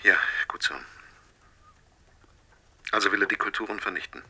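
Raspy, sharp-voiced phone conspirator. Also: male voice, on the low side, little pitch movement, moderate pace, exaggerated voice. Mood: cold, satisfied. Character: curt, sinister male conspirator on the telephone. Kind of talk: German radio drama acting.

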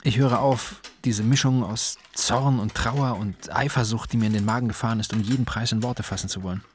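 A man's voice: medium pitch, steady tone, brisk, a little hiss or hum, close to an everyday voice. An actor playing a self-doubting, bitter father who narrates his life as an introspective confession in a radio drama. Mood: strained resolve. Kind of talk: radio drama first-person monologue.

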